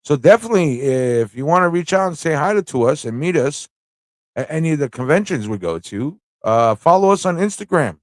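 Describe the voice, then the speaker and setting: A man's voice: on the high side, sing-song, fairly quick, dry recording. Casual, off-the-cuff male livestream host, dry sound. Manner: informal, off-the-cuff, thinks aloud, repeats phrases. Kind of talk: casual rambling livestream chat and commentary.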